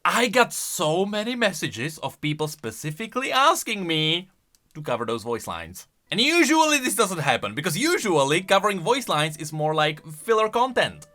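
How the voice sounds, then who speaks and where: Guy doing casual game lore commentary, kinda high voice, super animated, rambly. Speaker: gaming lore YouTuber.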